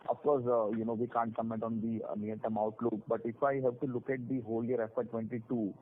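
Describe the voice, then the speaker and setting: A man with an Indian accent asking analyst questions on a conference call, in halting, filler-heavy phrasing. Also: medium pitch, flat delivery. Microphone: telephone conference line. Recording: narrow phone line with faint noise.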